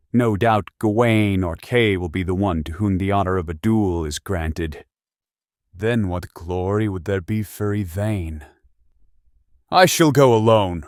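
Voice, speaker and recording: Man acting a proud, low-voiced young knight. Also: no room sound, studio quality, close to an everyday voice. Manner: formal, earnest, declamatory. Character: Yvain, proud resolute male young knight. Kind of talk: audio drama acting.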